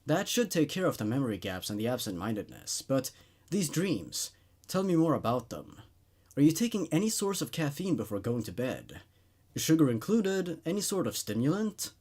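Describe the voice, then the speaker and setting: A man voicing an attentive male psychiatrist in a horror story, measured, clinical and questioning. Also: mid-range voice, big pitch swings, darkish tone, slightly rough. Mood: clinical, inquiring. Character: probing, attentive male psychiatrist taking notes.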